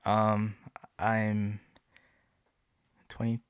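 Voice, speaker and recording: A man's voice: fairly low pitch, monotone, extremely slow, smooth, dry recording, studio quality. A man in his twenties hosting a casual first podcast episode, rambling and laughing as he talks. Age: 20s. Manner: rambling, laughing, self-correcting. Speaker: podcast host. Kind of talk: casual chat. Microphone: home podcast mic.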